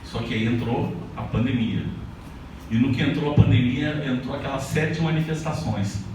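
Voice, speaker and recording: A man from Paraná talks casually to a live audience at an indoor event, often breaking off and restarting his sentences. His voice is heavily echoey and sounds far from the mic, with clear room ambience behind him. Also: medium pitch, normal speed, a little mellow, a little hiss or hum. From Paraná, Brazil. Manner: loose and conversational, with frequent restarts. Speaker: author at a book launch. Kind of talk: speech to an audience.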